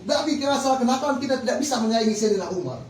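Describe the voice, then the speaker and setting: A man's voice: very high voice, animated, racing, a little hiss or hum. A man preaching a fervent, rhythmic sermon to a congregation, repeating set phrases. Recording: echoey hall recorded from far off.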